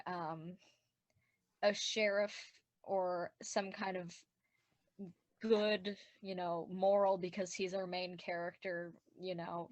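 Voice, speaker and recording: A woman's voice: lowish voice, no echo. A woman chatting casually on a podcast commentary, explaining things in a rambling, digressive way as a writer and director of the show.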